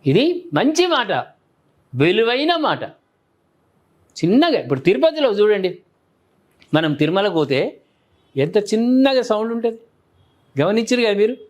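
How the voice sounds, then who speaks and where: A male news presenter with a strikingly high-pitched voice and a Telangana Telugu accent. He gives opinionated commentary straight to his viewers. His delivery is colloquial and emphatic, leaning on rhetorical questions. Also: big pitch swings, a little slow, long pauses.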